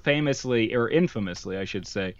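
A man's voice: fairly low pitch, animated, a little fast, lo-fi sound. A young man co-hosting a podcast, chatting casually and jokily over a board game with lots of fillers and restarts. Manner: jokey, rambling, many fillers and restarts. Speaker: podcast co-host.

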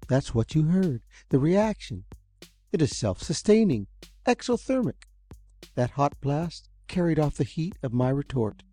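An eccentric old inventor, lanky and earnest, talks in a raised, strained voice, as a male storyteller puts him on. The tone stays soft and mellow under its urgency. Faint music plays behind the storybook reading. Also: a little high, very animated, a little slow, voice pushed up. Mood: eager.

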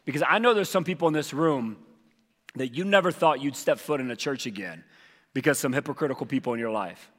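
A man preaching as lead pastor, hoarse from losing his voice, talking casually with many fillers. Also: medium pitch, animated, fairly quick, a bit bright, big-room sound. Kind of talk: sermon with announcements. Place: church auditorium.

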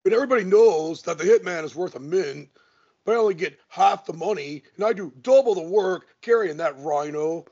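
A man's voice: high-pitched, fairly quick, darkish tone, husky. A man playing a self-important, condescending, scheming pro wrestler in a comedic audio play. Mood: smug. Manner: smug, condescending. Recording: dull lo-fi audio.

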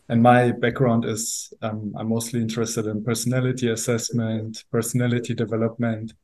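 A man's voice: mid-range voice, mellow, poor audio. A man, an assistant professor guest on a podcast, introducing himself plainly. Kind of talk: podcast interview.

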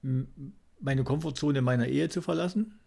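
A man from East Germany co-hosting a comedy podcast in casual banter with a deadpan delivery. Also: mid-range voice, moderate pace, vocal fry.

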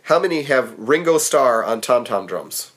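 A man hosting a casual podcast quiz, making wry, dry jokes and trailing off quietly at the ends of thoughts. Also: medium pitch, sing-song, a little slow, background noise. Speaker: podcast host running a quiz game. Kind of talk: casual chat.

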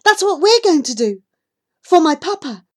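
A woman acts out a young child traveller from an audiobook adventure. The child is desperate and earnest, pleading urgently. The voice sits higher and pushes hard on the words. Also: very animated, moderate pace. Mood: earnest, proud.

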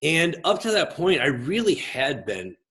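A man in his forties, a pastor, talking in a casual interview in a gregarious, expressive way with a big voice. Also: fairly high pitch, a little slow. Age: 40s.

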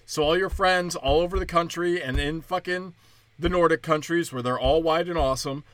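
A young man, a stand-up comedian, rambling crudely and mockingly through a solo podcast, breaking into high mimicking voices. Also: moderate pace, a bit bright, no echo.

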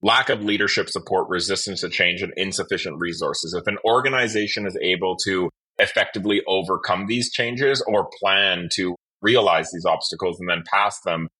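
A man hosting a podcast interview in a conversational, measured and thoughtful way. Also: low-pitched, sharp tone, husky, rough recording.

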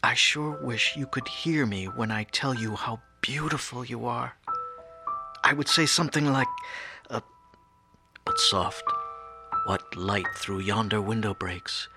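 An actor playing a young art museum director, lovestruck and easily flustered, in an audio drama. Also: male voice, medium pitch, a little slow, natural voice. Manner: stammering, breathless when flustered. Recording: music under the voice.

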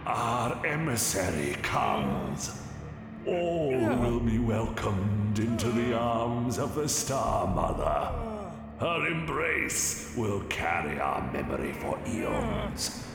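An actor playing a solemn, grandiose cult preacher in an audio drama, speaking ceremonially. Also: male voice, medium pitch, big pitch swings, slow, creaky, full character voice. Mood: reverent. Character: solemn male cult preacher of alien-worshipping underground faith. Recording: echoey cavernous hall, music behind.

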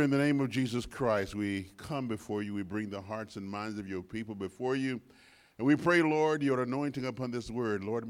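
A man in his sixties with a deep voice, preaching as a guest pastor in church with emphatic repetition that swings from soft to loud. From United States. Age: 60s. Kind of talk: sermon. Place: church sanctuary.

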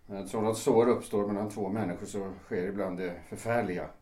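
An actor playing an ashamed, defensive lawyer in his late fifties in a radio drama, speaking formally and haltingly. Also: lowish voice, fairly even intonation, warm tone, natural voice. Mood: remorseful, pleading. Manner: halting, formal, old-fashioned phrasing. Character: ashamed, hesitant 58-year-old lawyer, defensive brother. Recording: roomy, echoing interior.

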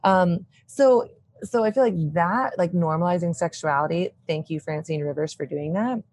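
A woman in her thirties chatting as a podcast guest, talking in animated bursts full of "like" and quick laughs, with a loud, opinionated way of speaking. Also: on the low side, dry recording. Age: 30s. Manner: says she has a loud voice, opinionated. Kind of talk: casual chat.

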